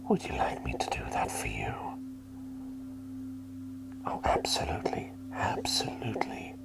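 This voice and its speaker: A man playing a gentle, doting plague doctor in an ASMR roleplay, whispering soothingly in a politely genteel, rambling way. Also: rumbling low, huge pitch swings, measured pace, exaggerated voice, poor audio. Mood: tender, soothing. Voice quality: soft breathy whisper. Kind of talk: ASMR roleplay, personal attention.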